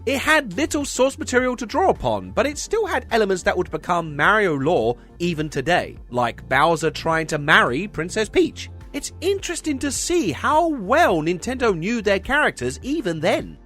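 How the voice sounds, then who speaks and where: A man hosting a video essay, delivering scripted comedic narration in a wry way with deadpan asides. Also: high-pitched, normal speed, few pauses. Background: music under the voice.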